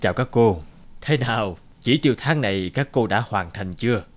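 A man voicing a middle-aged company director who asks staff brisk, authoritative questions. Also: on the low side, animated. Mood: businesslike.

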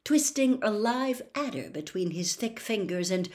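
A woman's voice: medium pitch, lively intonation, moderate pace, a little mellow. A woman in her sixties narrating a storybook expressively, reading aloud at home. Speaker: audiobook reader. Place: small home room with bookshelves, indoors.

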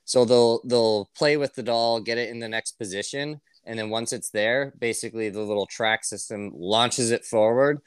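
A man's voice: mid-range voice, moderate pace, few pauses, smooth, no room sound. A man speaking as a podcast guest, explaining things in an informal, enthusiastic way. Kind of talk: casual chat, explaining.

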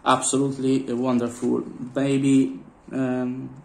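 A hoarse man with a cold and a sore throat, showing collectible figurines in a casual vlog, speaking English as a second language with many fillers and throat clearing. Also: mid-range voice, monotone, slow pace, a little mellow, roomy sound. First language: Italian. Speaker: vlogger. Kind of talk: casual unboxing commentary. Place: indoors at a table.

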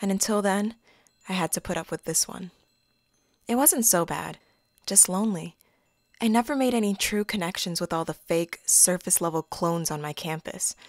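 A woman's voice: fairly low pitch, moderate pace, no echo, clean studio sound, close to an everyday voice. A young woman playing a lonely, wry student who narrates an introspective audio drama. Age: young adult. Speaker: lead and narrator.

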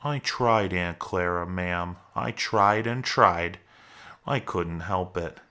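A man's voice: medium pitch, animated, measured pace, sharp tone, voice pushed up. Man acting a childlike, anxious simple-minded big man. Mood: sad, pleading. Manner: plain rustic ungrammatical talk.

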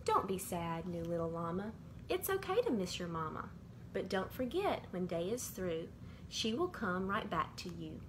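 A middle-aged woman reading a rhyming children's picture book aloud in a measured, sing-song way, as bedtime stories from a bedroom. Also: mid-range voice. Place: small indoor bedroom. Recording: clearly noisy amateur home recording.

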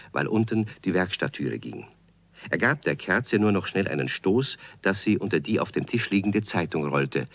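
An actor as a calm, wryly amused storyteller, narrating a children's audio play in a measured way. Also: male voice, sits low, exaggerated voice.

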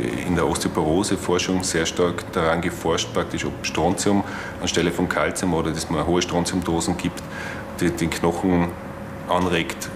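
A man's voice: deep voice, noisy background. A man explaining as an expert interviewee, speaking off the cuff in a reverberant space. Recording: reverberant hall, music behind.

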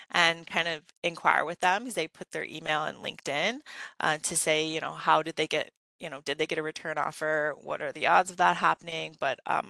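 A woman's voice: sits low, creaky, pushed and bright, no room sound, poor audio. A woman presenting a graduate business program in a webinar, talking through slides in a conversational way with many fillers.

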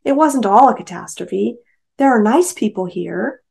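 A woman's voice: medium pitch, expressive, a little slow, vocal fry, darkish tone, slightly rough, exaggerated voice. A woman voicing a hopeful, wistful female best friend in a novel reading, eager and dreamy.

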